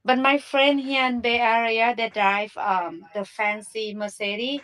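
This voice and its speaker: A woman's voice: fairly high pitch, fairly even intonation, a little slow, a bit bright. A woman from Thailand with a Thai accent, hosting a casual live audio chat in chatty, broken English. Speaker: live stream host. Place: Bay Area.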